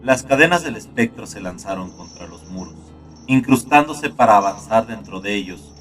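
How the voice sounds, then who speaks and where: A man reading a scripted horror story as narrator, in a dark, gloomy, dramatic manner. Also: lowish voice, animated, moderate pace, far from the mic. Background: soft guitar music.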